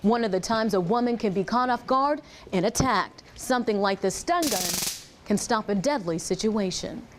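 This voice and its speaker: A woman delivers scripted television news narration. She speaks as a reporter at a middle pitch, presenting the story in a broadcast style. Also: moderate pace, a little hiss or hum.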